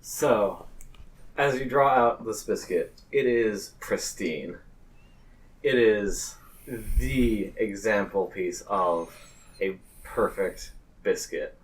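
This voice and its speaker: A man improvising narration as game master of a tabletop game, pausing hesitantly mid-phrase. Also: fairly low pitch, recorded from a distance, poor audio.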